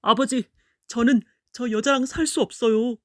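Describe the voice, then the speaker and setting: A woman's voice: a little high, steady tone, normal speed, fairly bright tone, dry recording, clean studio sound, lightly acted. A woman voicing a petulant young bridegroom in a storybook tale, protesting in an exclamatory way. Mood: upset, pleading.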